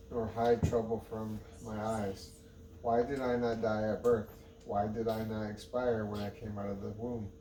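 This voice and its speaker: A man reading scripture aloud in a halting way, often stumbling and going back over words. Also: on the low side, darkish tone. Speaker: Bible reader. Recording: roomy, distant mic with faint noise.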